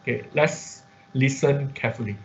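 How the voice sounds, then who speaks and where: A man's voice: mid-range voice, normal speed. A man giving an informal step-by-step tutorial as an online class instructor, often checking in with short tag words. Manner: step-by-step explaining with frequent check words like okay and right. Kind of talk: informal screen-share tutorial. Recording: dull, lo-fi online-class audio.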